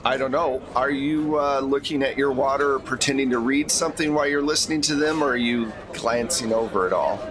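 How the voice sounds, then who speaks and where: A man's voice: mid-range voice, brisk, few pauses, a crowd in the background. Man running a casual tabletop game. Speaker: game master. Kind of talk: casual improvised narration of a tabletop role-playing game.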